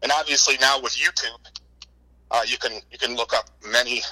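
Middle-aged man with a very bright voice. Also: medium pitch, fairly even intonation, fairly quick, rough recording. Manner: wordy, informal, repeats words and says "you know" often. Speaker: interview guest, book co-author. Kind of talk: conversational interview answers, telling anecdotes.

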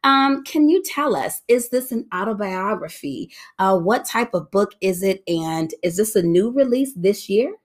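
A woman's voice: medium pitch, animated, a little slow, hardly any pauses. A woman hosting a podcast interview, upbeat and exclamatory as she welcomes her guest. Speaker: podcast host.

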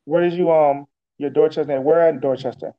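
Slightly high-voiced host, lo-fi. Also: male voice, fairly even intonation. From Boston. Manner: chatty, halting restarts. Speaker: podcast host. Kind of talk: casual interview. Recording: dull lo-fi sound.

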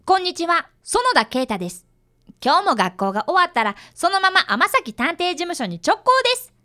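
Woman voicing high-pitched, eager little schoolboy detective. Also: big pitch swings, moderate pace, exaggerated voice. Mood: cheerful, proud. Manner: polite, bright, earnest. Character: eager, earnest fifth-grade boy, apprentice detective. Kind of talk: radio drama acting with first-person narration.

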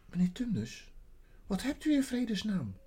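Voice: medium pitch, wildly swooping pitch, normal speed, dark tone, husky, pitched above the performer's own voice, full character voice. A storybook reader voicing a kind, anxious, outspoken little girl in an earnest, pleading way.

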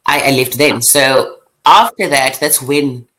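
A young woman with a South African accent talks in a relaxed podcast chat. She is lively, laughs easily and often restarts her sentences in quick bursts. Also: very deep voice, rough recording. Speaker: guest. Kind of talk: casual chat.

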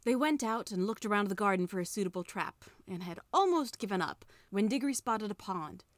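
A young adult woman reading a children's story aloud, narrating with lively dialogue. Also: medium pitch, brisk, dry recording. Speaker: reader.

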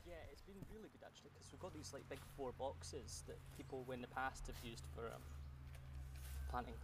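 A man's voice: medium pitch, brisk, distant mic, background noise. A Scottish man in his thirties chatting casually while gardening, laughing often and trailing off with fillers. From Scotland. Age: 30s. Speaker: podcast guest.

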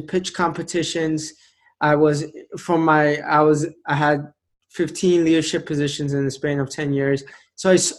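A man in his late twenties, a motivational speaker telling his story as an interview guest, earnest and emphatic, slowing down to stress key phrases. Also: fairly high pitch. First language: an Indian language. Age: 20s.

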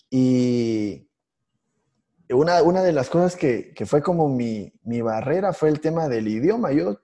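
Hombre de voz oscura, lleno de titubeos. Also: male voice, mid-range voice. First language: Spanish. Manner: halting, many restarts and fillers, animated storytelling. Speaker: podcast co-host, IT instructor. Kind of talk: casual podcast conversation.

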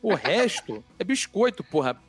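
A man with a Carioca accent, a podcast guest chatting in an animated, casual way and swearing often. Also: high-pitched, normal speed, slightly rough, soft background music. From Rio de Janeiro, Brazil. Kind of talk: casual chat.

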